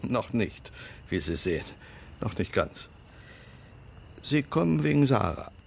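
A frail elderly lawyer in a radio drama, with a deep voice full of creak. He weighs his words formally, stays guarded, and turns stern and sardonic when making a point. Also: very animated, unhurried, slight background noise, full character voice. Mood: dry, courteous. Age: older. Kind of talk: radio play acting.

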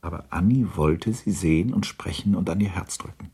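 A man narrating a literary radio drama in a measured, formal storytelling manner. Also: low voice, warm tone, slightly rough.

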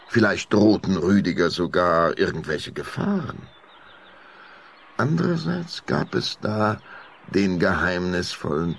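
Male narrator for children's audio drama: very slow, deliberate delivery with swooping, animated intonation. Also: low voice, creaky, a little hiss or hum. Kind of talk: scripted storytelling for children's radio play.

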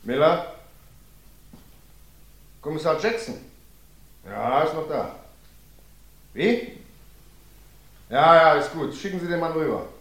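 A jumpy police clerk, roomy old sound. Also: male voice, fairly high pitch, animated, takes it slowly, pauses often, a bit bright, exaggerated voice. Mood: eager. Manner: nervous, eager.

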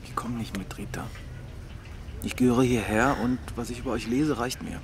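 An actor playing a wry, stubborn young brother in a naturalistic radio drama, talking casually and tersely. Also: male voice, mid-range voice, fairly even intonation, normal speed, noisy background, close to an everyday voice. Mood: defiant.

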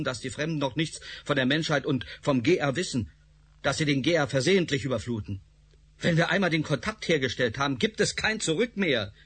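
A man's voice: fairly high pitch, fairly bright tone, a little hiss or hum. An actor playing a commanding, sarcastic male research director in a radio play. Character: stern male director Doktor Bergson, authoritarian.

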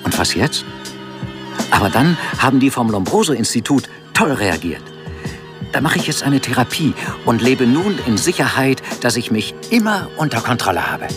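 An actor playing a reassured therapy patient, giving a conversational, confiding testimonial in a radio drama. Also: male voice, medium pitch, very animated, moderate pace, over music, natural voice.

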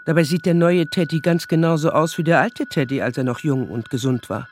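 A woman narrator telling a story calmly in a scripted radio play. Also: low-pitched, a little fast, a little hiss or hum, close to an everyday voice. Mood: matter-of-fact.

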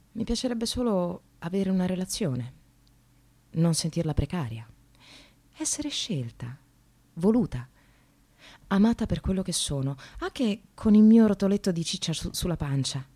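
Bitter, self-mocking woman, wildly expressive. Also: deep voice. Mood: wistful. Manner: confessional, sardonic, swinging from hushed to vehement. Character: Sara, bitter, self-mocking separated mother in precarious work. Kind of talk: theatrical radio monologue.

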